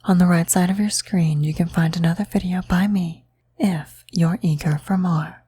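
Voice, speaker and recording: A woman who makes ASMR roleplays talks to her viewers with a very breathy, husky voice, close to a whisper. The tone is soft and mellow, with little rise or fall. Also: lowish voice, fairly even intonation, moderate pace, no echo. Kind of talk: whispery ASMR video sign-off.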